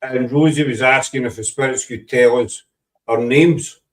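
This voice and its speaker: A man from Scotland with a Scottish accent, chatting casually in a halting way, often repeating words, with a dry sense of humor. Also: mid-range voice, steady tone, far from the mic. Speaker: paranormal investigator. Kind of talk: casual chat.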